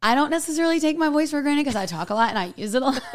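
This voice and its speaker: A young woman with a raspy, gravelly voice, chatting animatedly as a podcast co-host while getting over hoarseness. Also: high voice, quick pace. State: hoarse, recovering voice. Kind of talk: casual chat.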